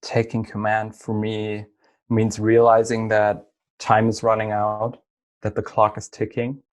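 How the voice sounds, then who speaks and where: A man whose first language is German, a podcast guest answering in an earnest, thoughtful way. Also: on the low side. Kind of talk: conversational interview answers.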